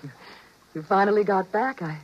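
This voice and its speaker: A woman acting a sweet, affectionate wife in a 1950s radio drama, speaking softly and coaxingly. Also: mid-range voice, normal speed, slight background noise, natural voice. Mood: tender. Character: sweet, knowing wife Marge, adult woman. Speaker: actress playing Marge. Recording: dull vintage 1950s radio broadcast.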